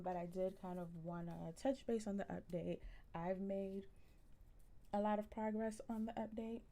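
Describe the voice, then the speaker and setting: A woman with a generally soft voice chatting casually with viewers on a live stream, relaxed and full of ums. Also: on the low side, vocal fry. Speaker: livestreamer.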